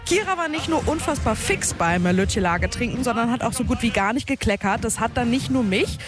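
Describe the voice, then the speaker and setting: A slightly hoarse woman reporting for radio on an event she hosted, lively and questioning. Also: fairly high pitch, fairly quick. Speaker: radio presenter and event host. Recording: music in the background.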